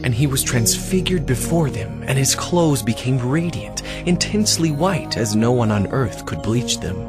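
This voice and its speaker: A male narrator with a medium-pitched voice reads scripture as drama. His storytelling is measured and dramatic, set over a clearly audible musical score. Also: lively intonation. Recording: dramatic music bed behind the voice.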